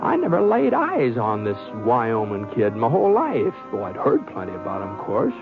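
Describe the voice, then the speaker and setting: An actor playing a modest, flustered Old West gunfighter with an American accent, hesitant and folksy, in old-time radio western style. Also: male voice, medium pitch, wildly swooping pitch, normal speed, over music. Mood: bemused narrating. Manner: hesitant, folksy, stammering protests. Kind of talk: old-time radio western acting with first-person narration. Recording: dull, muffled vintage radio recording.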